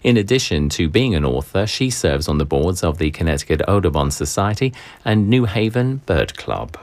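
A man hosting a morning public radio show, reading station announcements and sponsor credits in a measured announcer manner. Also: low voice, sing-song, a little mellow, no room sound.

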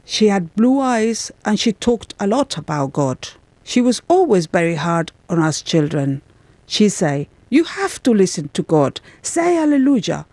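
A woman interpreting a guest's answers in the first person, in a measured, plain delivery in a studio. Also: medium pitch, big pitch swings, a little mellow, no echo.

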